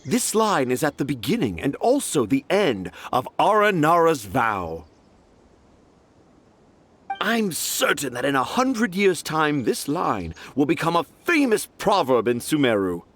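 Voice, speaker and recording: A man's voice: fairly high pitch, wildly swooping pitch, a little slow, a bit bright, a little hiss or hum, heavily acted. A man voicing a pompous male writer in a game, grandiloquent and theatrical. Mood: grandiose. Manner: grandiloquent, self-satisfied, theatrical. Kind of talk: game voice acting.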